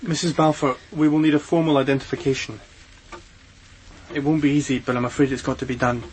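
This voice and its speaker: Actor as a brisk, polite police detective. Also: male voice, medium pitch, level tone, slight background noise, lightly acted, poor audio. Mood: formal, grave. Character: polite, matter-of-fact male police detective. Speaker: actor in a crime drama. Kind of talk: naturalistic radio drama acting.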